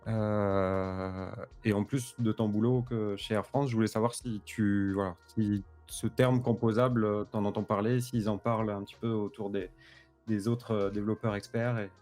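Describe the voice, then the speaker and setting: A low, mellow-voiced man in his thirties co-hosting a tech livestream. He talks in a relaxed, easygoing way, often repeating a word as he thinks, with faint music in the background. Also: normal speed. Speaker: co-host. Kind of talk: livestream panel discussion.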